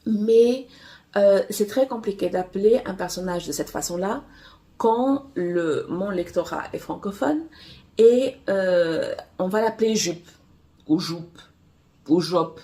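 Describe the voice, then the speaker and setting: A woman romance author whose first language is Portuguese, chatting casually to camera in a halting way with many fillers and restarts. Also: mid-range voice, dark tone. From Angola. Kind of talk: casual vlog chat.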